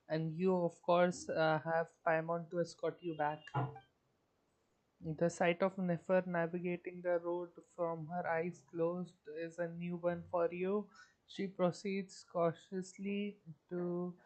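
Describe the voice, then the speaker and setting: A streamer reading game text aloud in a casual, halting way, stumbling over words. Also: mid-range voice, flat delivery, a little mellow, rough recording.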